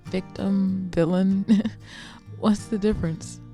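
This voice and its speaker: A woman's voice: medium pitch, steady tone, takes it slowly, dark tone, faint music behind. A woman playing a cool, proud, world-weary scarred vigilante in a superhero radio drama. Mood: bitter.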